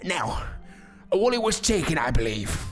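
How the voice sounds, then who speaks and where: An actor playing a callous, impatient judge in an audio drama, pompous and dismissive. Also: male voice, on the high side, very animated, unhurried, soft background music. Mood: indignant.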